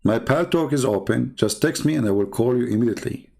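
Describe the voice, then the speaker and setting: Gravelly Arabic-accented man challenging callers. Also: mid-range voice, animated, fast talker, darkish tone. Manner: challenging, taunting, inviting callers. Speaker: online debate host. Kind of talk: live-streamed religious debate talk.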